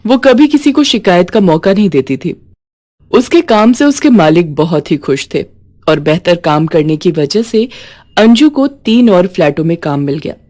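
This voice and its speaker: A woman's voice: lowish voice, a little mellow. A woman storyteller reading a scripted moral tale as narrator.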